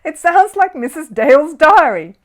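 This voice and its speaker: A woman voicing a polite, earnest young male bear from a children's storybook, easily puzzled and quick to exclaim. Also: high-pitched, big pitch swings, voice pushed up. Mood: surprised.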